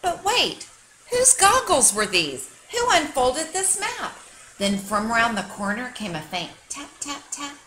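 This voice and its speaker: A woman reading a children's picture book aloud like a teacher, with expressive, dramatic storytelling for young listeners. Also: high-pitched, a little slow, slight background noise, rough recording.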